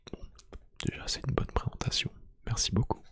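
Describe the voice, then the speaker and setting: A man doing a soft-spoken ASMR roleplay, gently whispering one-to-one to the listener in a hushed, breathy voice. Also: fairly quick, no room sound, studio quality. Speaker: ASMR YouTube creator. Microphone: close microphone.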